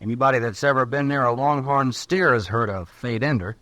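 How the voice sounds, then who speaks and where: A man's voice: mid-range voice, fairly quick, fairly bright tone, no echo, natural voice. Man playing a cocky drunk young cowhand. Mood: boastful. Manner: brash, folksy cowboy speech. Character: cocky nineteen-year-old cowhand, drunk, hot-headed and boastful. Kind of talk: 1950s Western radio drama acting.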